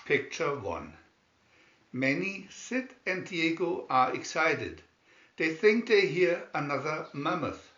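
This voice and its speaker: A man narrates a picture storybook in a slightly high, very animated voice. He reads deliberately, pausing between short phrases. The recording is dull and roomy, with noticeable echo. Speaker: reader of picture-story booklets. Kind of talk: slow scripted storybook narration.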